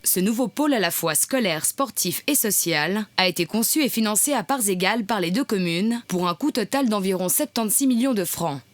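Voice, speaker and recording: A woman's voice: mid-range voice, lively intonation, fairly quick, hardly any pauses, no echo. A woman reading scripted narration for a local news report.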